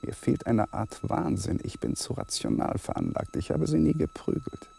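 An actor in a German crime radio play playing a troubled, coldly rational lawyer husband. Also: male voice, very high-pitched, huge pitch swings, moderate pace, vocal fry, warm tone, heavily acted. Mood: brooding, hushed. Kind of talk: German crime radio play acting, dialogue and inner monologue.

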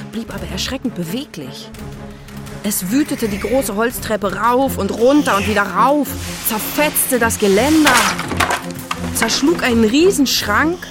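A woman narrating a scripted children's story in a dramatic, suspenseful way. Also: on the high side.

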